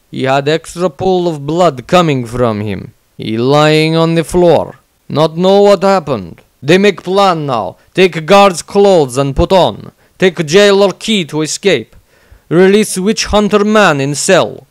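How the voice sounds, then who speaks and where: A man's voice: on the high side, animated, slow pace, no echo. A man voicing a blunt, simple-minded barbarian warrior who tells a violent fantasy diary in clipped, broken grammar. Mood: blunt, amused. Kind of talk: in-character diary narration, fantasy audio story.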